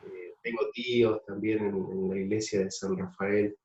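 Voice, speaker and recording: A man's voice: fairly low pitch, steady tone, measured pace, darkish tone. An Argentine man speaks as a pastor, telling his life story in a reflective, affectionate way. He sits far from the mic in an echoey room. The sound is dull. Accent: Argentine Spanish. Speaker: pastor guest. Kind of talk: interview testimony. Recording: distant, roomy, dull sound.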